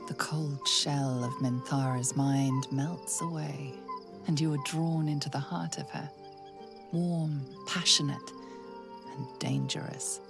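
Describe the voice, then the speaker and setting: A woman playing the storyteller in a fantasy video game, narrating in a hushed, evocative and deliberate way. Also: deep voice, fairly even intonation, creaky, music in the background, heavily acted. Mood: hushed, intimate. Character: hushed, intimate female storyteller narrating inner visions.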